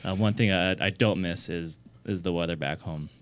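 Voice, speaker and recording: Low-voiced man in his thirties. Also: clean tone, no room sound. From New Hampshire, US. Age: 30s. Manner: earnest, halting, many fillers and restarts. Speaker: guest, nonprofit founder. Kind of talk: casual radio interview.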